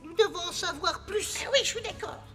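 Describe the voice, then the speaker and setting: Very high, pushy little cartoon critter kid. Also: female voice, brisk, pushed and bright, faint music behind. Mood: scheming. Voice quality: high, childlike. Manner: insistent, questioning. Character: greedy, pushy young animal child hunting treasure. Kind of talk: French cartoon dub acting.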